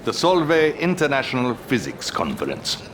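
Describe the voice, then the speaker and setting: Domineering Soviet premier, swooping delivery. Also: male voice, mid-range voice, huge pitch swings, normal speed, crowd noise. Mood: grave, scheming. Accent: Russian. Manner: theatrical, commanding. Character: scheming, domineering Soviet premier hungry for power. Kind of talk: dramatic video game cutscene acting. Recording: music behind the voice.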